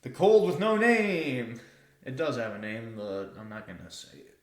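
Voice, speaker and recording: A man's voice: medium pitch, very animated, moderate pace. A twenty-year-old man co-hosting a casual podcast, speaking in a hesitant way with lots of filler words. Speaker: podcast co-host. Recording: echoey room.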